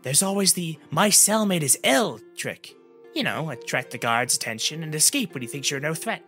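Anxious tiny fairy companion played by a male reader, female, very high, pleading yet wry, storybook narration over music. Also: animated, moderate pace, pushed and bright. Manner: pleading, trembling delivery.

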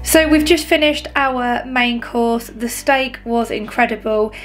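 A woman, talking viewers through a recipe in her home kitchen in a relaxed, chatty way. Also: a little high, measured pace, faint music behind. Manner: chatty, relaxed, frequent "um" and "honestly". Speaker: cooking vlogger. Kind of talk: cook-along voice-over and chat to camera. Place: home kitchen and living room.

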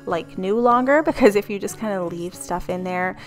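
A woman's voice: medium pitch, moderate pace, soft background music. Chatty woman narrating a cleaning vlog. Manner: chatty, rambling, relaxed asides. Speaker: cleaning vlogger. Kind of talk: casual voice-over narration.

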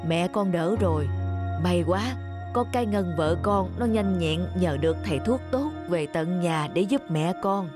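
A woman voicing a grave, kindly elderly father in a cartoon, giving measured counsel. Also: on the low side, lively intonation, over music. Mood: concerned. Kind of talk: scripted cartoon dialogue.

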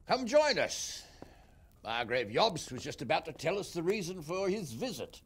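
An actor playing a blunt, impatient lord who swears and jokes dryly, with a gravelly, husky voice. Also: male voice, on the high side, big pitch swings, normal speed, full character voice. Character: blunt gruff male lord, impatient and sardonic.

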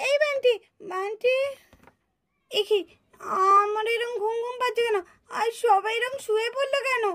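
A young doll heroine for a puppet show. The voice is tiny and extremely high, with an emphatic, plaintive manner and a childlike indignant edge. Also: female voice, moderate pace, a bit bright. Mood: drowsy, worried. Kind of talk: puppet cartoon acting.

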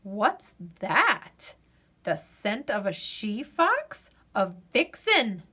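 A woman reading a children's picture book aloud as a storyteller, with gentle, expressive delivery and deliberate dramatic pauses. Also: mid-range voice, breathy edge.

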